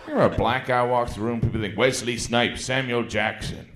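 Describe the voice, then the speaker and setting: A man's voice: medium pitch, fairly even intonation, normal speed, noisy background. A man doing a stand-up comedy set on a club stage, delivering dry, self-deprecating punchlines. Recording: echoey club with loud crowd laughter. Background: audience laughing.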